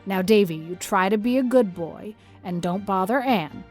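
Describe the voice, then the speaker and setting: Actress as strict older country woman in a storybook, medium pitch, no-nonsense and admonishing, faint music behind. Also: normal speed, a little mellow, natural voice. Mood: firm. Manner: stern, admonishing, matter-of-fact. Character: strict, practical older farm woman guardian. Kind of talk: audiobook character acting.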